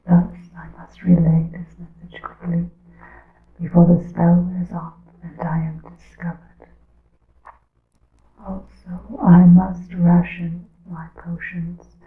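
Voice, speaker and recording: A woman voicing a hunted witch in a breathy ASMR whisper, solemn and deliberate, with archaic formal phrasing as she secretly warns her coven. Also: lowish voice, reverberant, narrow, tinny audio. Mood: urgent, secretive. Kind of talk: ASMR whispered roleplay monologue.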